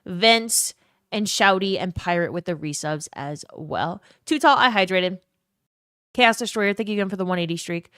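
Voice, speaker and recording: Woman, lowish voice, smooth, dry close sound, slight fry at phrase ends. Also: normal speed, fairly bright tone, dry recording. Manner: chatty, rambling, laughs often, thanks viewers by name. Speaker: streamer. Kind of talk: casual live-stream chat.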